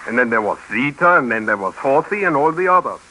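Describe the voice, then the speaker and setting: An actor playing a baffled, skeptical refugee carpenter in a 1950s radio drama, asking halting, incredulous questions. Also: male voice, medium pitch, expressive, sharp tone. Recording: noisy old 1951 radio recording.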